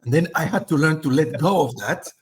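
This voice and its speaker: A man's voice: on the high side, fairly quick. A middle-aged man from Spain, talking as a guest in an interview, thoughtful and full of fillers and restarts. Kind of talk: casual interview chat.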